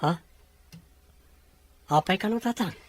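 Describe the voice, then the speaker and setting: A man with a Filipino accent playing a gentle, concerned young adult son in an audio drama. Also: high-pitched, takes it slowly, slight background noise. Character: concerned, gentle grown son talking with his father. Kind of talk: Ilocano audio drama acting.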